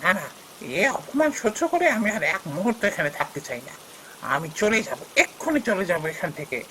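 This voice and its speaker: A man plays a proud and greedy son-in-law in a cartoon fairy tale. He is touchy and theatrical, with very animated intonation and emphatic phrasing. There is faint noise in the background. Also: high-pitched, fairly quick, full character voice, poor audio. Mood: indignant, offended. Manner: dramatic, emphatic.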